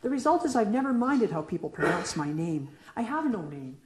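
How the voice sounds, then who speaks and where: A woman's voice: medium pitch, sing-song, a little fast, noisy background. A middle-aged woman poet reading her own work aloud to an audience, moving between a question-and-answer poem and casual asides between pieces. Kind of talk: poetry reading. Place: lecture room at a reading. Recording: echoey room.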